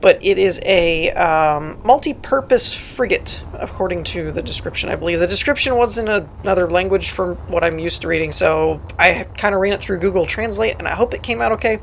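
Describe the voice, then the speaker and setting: A hoarse, raspy woman hosts a casual game walkthrough, chatting loosely and filling pauses with ums. Her voice sits a little low with a gravelly fry running through it, and she pokes fun at herself as she goes. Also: slight background noise, poor audio. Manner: rambling, chatty, self-deprecating, many ums. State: hoarse from a cold. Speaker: gaming channel host. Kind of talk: casual gameplay walkthrough commentary.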